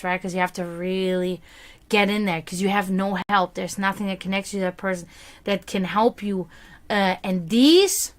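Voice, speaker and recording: Streamer, female, native German speaker, mid-pitched, chatty, rambling psychic reader. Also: a bit bright. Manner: chatty, rambling, reads chat aloud. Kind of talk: casual livestream chat.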